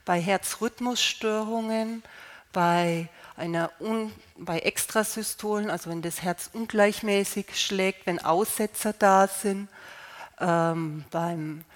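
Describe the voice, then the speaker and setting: A woman with a slight cold calmly explaining how to use healing stones, unhurried, with fillers and restarts. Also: medium pitch. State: slight cold, clearing throat. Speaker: gemstone healing presenter.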